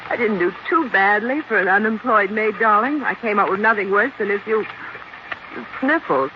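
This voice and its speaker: A woman's voice: medium pitch, lively intonation, moderate pace, lightly acted. An actress playing a plucky, wry woman companion to a crime-fighter in a 1940s radio drama. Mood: wry, relieved. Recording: muffled, hissy 1940s radio recording.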